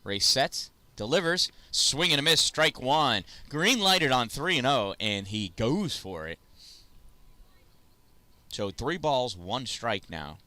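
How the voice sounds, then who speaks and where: A man calling a youth baseball game play by play, chatty and self-deprecating, with rambling asides and a loud voice. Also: medium pitch, animated, slow, no room sound. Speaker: play-by-play announcer. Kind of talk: casual youth baseball commentary.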